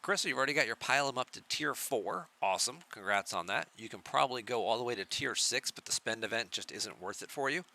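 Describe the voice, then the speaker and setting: A man chatting casually on a live game stream, answering viewers in a relaxed, soft-spoken way at a home computer mic. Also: fairly quick, vocal fry, few pauses. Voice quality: soft-spoken, low volume.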